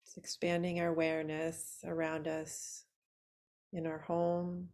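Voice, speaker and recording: A woman teaching yoga nidra, guiding a relaxation meditation in a soothing, unhurried way with long pauses between short phrases. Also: deep voice, monotone, darkish tone.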